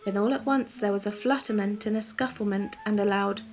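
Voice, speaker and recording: A woman narrating a children's storybook in a soft, gentle, calm way, as scripted bedtime reading. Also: medium pitch, a little fast, soft background music.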